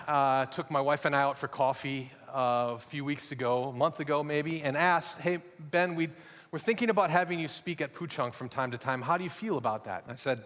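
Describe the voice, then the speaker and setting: A man's voice: fairly high pitch, fairly bright tone, long reverb tail. A middle-aged American man from Minnesota, preaching a sermon as a guest speaker with folksy, self-deprecating humor. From Minnesota, USA. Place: church hall.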